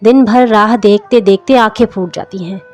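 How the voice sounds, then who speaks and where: A woman voicing a caring young wife who gently chides, in a story reading. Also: mid-range voice, moderate pace, lightly acted. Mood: caring, chiding. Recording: music under the voice.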